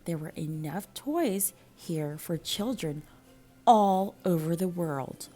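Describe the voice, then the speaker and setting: A woman reading a children's picture book aloud in an animated, measured storytelling manner with dramatic pauses, like a school librarian. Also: lowish voice, soft background music.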